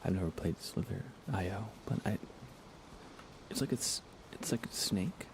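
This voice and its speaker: A low, gravelly man talks softly through a relaxed ASMR gaming stream. He often slips into a near whisper, keeping things casual and close to the microphone. Steady background noise and game ambience sit clearly behind him. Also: unhurried. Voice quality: creaky, low. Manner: soft-spoken, often dropping to a whisper. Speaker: livestreaming gamer.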